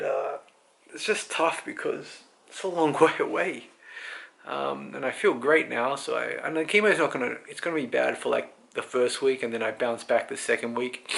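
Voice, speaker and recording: A man in his thirties talks off the cuff with plenty of vocal fry and a fairly flat melody. He rambles candidly, pausing to think aloud, in the manner of a personal video diary. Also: medium pitch. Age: 30s. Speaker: vlogger. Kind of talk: casual unscripted personal health update.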